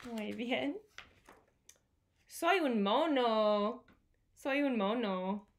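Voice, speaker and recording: A woman in her thirties reading aloud to young children in an animated way, with simple repeated phrases. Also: a little high, drawn-out words. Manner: animated, simple repeated phrases, switches between Spanish and English. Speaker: children's storytime host. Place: small home room with bookshelves.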